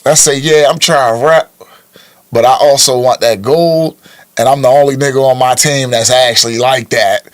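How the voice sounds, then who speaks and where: A man's voice: mid-range voice, fairly even intonation, normal speed. An American man co-hosting a podcast, chatting about sports news in an informal, slangy way. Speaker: podcast co-host. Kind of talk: casual sports news chat. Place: podcast studio.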